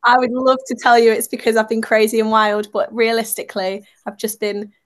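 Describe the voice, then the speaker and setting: A young woman, a singer being interviewed, chatty and bubbly, her voice a little croaky from a sore throat. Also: fairly high pitch, level tone, a little fast. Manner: bubbly, chatty, laughs at herself. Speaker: singer-songwriter guest. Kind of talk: casual interview chat.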